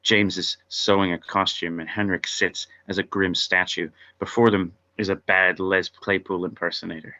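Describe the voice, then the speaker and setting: A man's voice: low-pitched, level tone, moderate pace, hardly any pauses, sharp tone. A man narrating scene directions from a script at a table read, on a lo-fi recording. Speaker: narrator reading stage directions.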